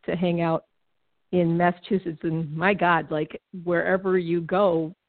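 A woman's voice: on the low side, flat delivery, unhurried, no room sound. Chatty woman on a phone line. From Connecticut, New England. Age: middle-aged. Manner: chatty, laughs often, frequent restarts. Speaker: guest, former baseball team executive. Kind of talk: casual conversational interview. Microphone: phone call. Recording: tinny phone line, dry.

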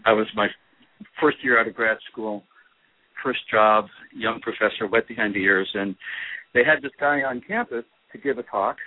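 A man who is a poet, giving thoughtful, halting answers in an interview and often restarting his sentences. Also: fairly low pitch, dry recording. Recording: dry, narrow phone-line audio.

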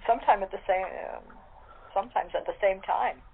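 A woman chatting casually as a guest, with halting, hesitant phrasing. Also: medium pitch. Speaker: radio play actor and guest. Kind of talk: casual group chat. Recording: tinny phone line, faint noise.

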